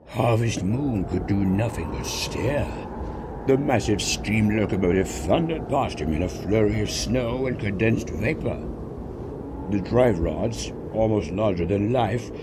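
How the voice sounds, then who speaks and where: A man's voice: on the low side, lively intonation, measured pace. A man reading a scripted story as its narrator. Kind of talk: scripted narration of fan fiction. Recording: clear background noise.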